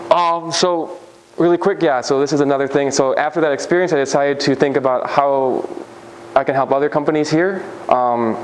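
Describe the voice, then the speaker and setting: A man giving a relaxed conference presentation, talking loosely with lots of "like" and "um" and frequent restarts. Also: medium pitch, slight background noise, low-quality recording. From United States. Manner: casual, many fillers and restarts. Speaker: speaker. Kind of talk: conference talk.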